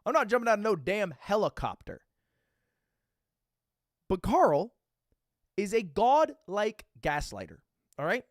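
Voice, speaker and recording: A man in his twenties, a streamer reacting to a video, telling a story with excitable, profane energy and acting out what others said. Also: high voice, unhurried, long pauses, dry recording, clean studio sound. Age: 20s. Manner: excitable, profane, acts out quotes.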